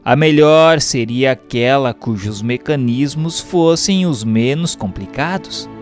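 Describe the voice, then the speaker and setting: A man with a Brazilian accent reading a book aloud as a formal, measured, didactic narration. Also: mid-range voice, soft background music.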